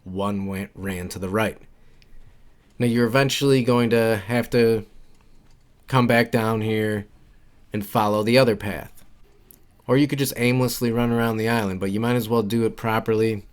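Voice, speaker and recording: A man's voice: on the low side, fairly even intonation, normal speed, pauses often. A man giving casual, instructional walkthrough commentary for a video game, informal and repeating his key points. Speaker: game guide creator and narrator.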